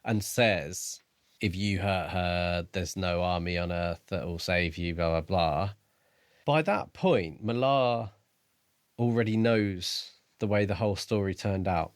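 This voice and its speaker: A man with a fairly low voice, recorded very dry. He chats casually as a podcast co-host, working through details in a measured, analytical manner. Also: no echo. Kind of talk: casual book discussion.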